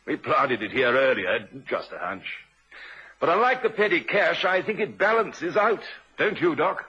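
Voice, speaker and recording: An actor playing a shrewd, unhurried police inspector in an old-time radio drama, a man with a measured, probing, quietly assured manner. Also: a little high, sing-song, slightly rough, exaggerated voice. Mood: wry. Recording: muffled vintage radio recording.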